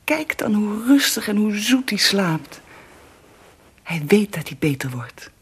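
An actress playing an anguished, devout Russian empress and mother in a radio drama, breathy and impassioned. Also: medium pitch, big pitch swings, a little slow, heavily acted. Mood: tender, relieved. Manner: impassioned, pleading.

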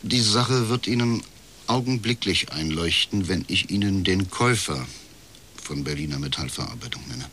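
An actor playing a bitter, middle-aged male official confiding as a whistleblower in a radio crime drama, with a husky voice and measured delivery. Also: sits low, animated, sharp tone, slight background noise. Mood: urgent, confiding. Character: disillusioned, chain-smoking male privatisation official, uneasy whistleblower.

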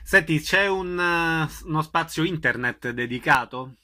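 A man's voice: a little high, unhurried, pushed and bright. A man hosting a radio interview as the presenter. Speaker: radio show host.

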